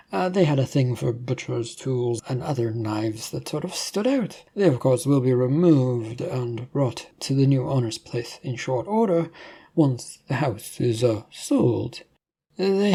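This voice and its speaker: A man's voice: mid-range voice, mellow, husky. A man voicing an evasive, eerily courteous realtor in a horror story, with hesitant, salesman-like patter. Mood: nervous courtesy. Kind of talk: storybook horror character acting.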